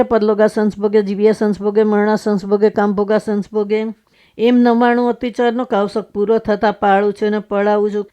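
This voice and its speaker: A woman leading a religious recitation of memorized prayers in a measured, chanting delivery. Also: mid-range voice, mellow, clear, resonant tone. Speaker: leader of a Jain prayer recitation. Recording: slightly dull, muffled recording.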